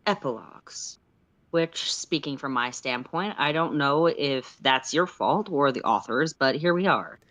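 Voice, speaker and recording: A woman's voice: a bass voice, lively intonation, a little slow, fairly bright tone, no room sound, full character voice. A woman playing an exasperated, sweary sylph philosopher who lectures and argues sarcastically in an amateur story reading. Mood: measured explaining. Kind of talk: amateur audio fiction read aloud live.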